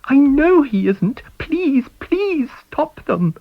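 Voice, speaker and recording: An elderly British woman in an audiobook story, played by a male reader, pleads earnestly in a high, distressed voice. Her delivery is breathless and agitated, swooping in pitch. The recording is slightly dull. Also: big pitch swings, slow, gravelly, dry recording, heavily acted. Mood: agitated pleading. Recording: dull, band-limited recording.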